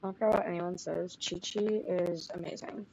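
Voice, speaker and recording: A woman's voice: lowish voice, fairly even intonation, moderate pace, gravelly, background noise. A woman streaming live, chatting casually as she plays, with game music behind her. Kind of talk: casual chat while playing a game. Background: video game music.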